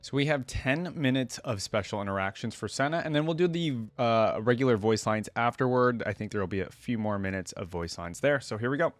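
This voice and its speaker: A man's voice: mid-range voice, lively intonation, a little fast, few pauses, no room sound. A man giving informal reaction commentary as a streamer, with casual, appreciative asides. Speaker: streamer reacting to game voice lines.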